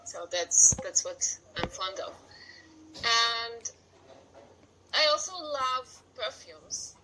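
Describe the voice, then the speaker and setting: A woman's voice: on the high side, lively intonation, extremely slow, noisy background. A woman in her forties from Russia with a Russian accent, a podcast guest chatting casually and sometimes searching for words. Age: 40s.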